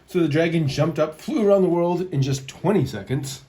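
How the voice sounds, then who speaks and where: A man hosting a storytime, reading a picture book aloud in an expressive storytelling style. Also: on the high side, normal speed. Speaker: storyteller, host.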